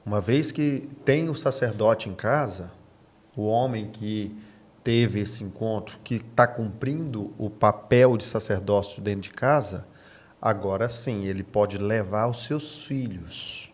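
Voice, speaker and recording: Brazilian pastor guy, lowish voice, podcast chat that turns into preaching, repeats stuff to drive it home. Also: measured pace. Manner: emphatic, repeats phrases for emphasis. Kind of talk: conversational preaching in an interview.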